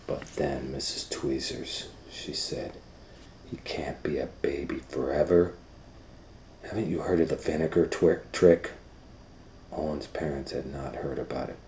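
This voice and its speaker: Storytime reader, middle-aged man, deep and unhurried, whispers then booms, roomy sound. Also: a little hiss or hum. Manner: animated, swings from hushed whispers to loud excited voices. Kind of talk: reading a picture book aloud.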